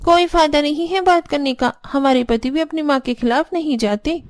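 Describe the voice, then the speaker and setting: Casting: actress as young daughter-in-law in a family cartoon, high-pitched, put-upon, pleading. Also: female voice, moderate pace, lightly acted. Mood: resentful. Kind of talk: Hindi cartoon family drama dialogue.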